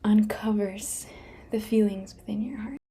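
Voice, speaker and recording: A woman speaking in a soft unvoiced whisper, gently guiding a meditation and sharing a personal story. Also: medium pitch, measured pace, breathy edge.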